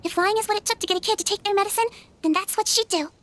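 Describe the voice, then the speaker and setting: A woman's voice: very high voice, very fast, a bit bright. A woman playing a small, kindly nurse creature in a video game cutscene, youthful, composed and matter-of-fact. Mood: calm, candid.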